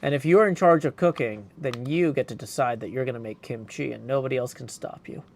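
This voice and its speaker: A man's voice: medium pitch, a little fast, vocal fry, a little hiss or hum. A man casually talking through a game he is playing and reading bits of its text aloud. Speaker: streamer practicing game voice acting.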